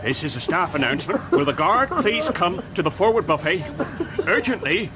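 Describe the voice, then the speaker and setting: Flustered buffet steward, high voice, jumpy intonation, officious, radio play. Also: male voice, big pitch swings, moderate pace. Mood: panicky. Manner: officious, nervous. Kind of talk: radio drama acting.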